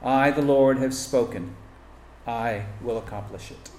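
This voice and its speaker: A man's voice: mid-range voice, unhurried, darkish tone, slight background noise. Man reading scripture in an echoey room. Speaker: scripture reader.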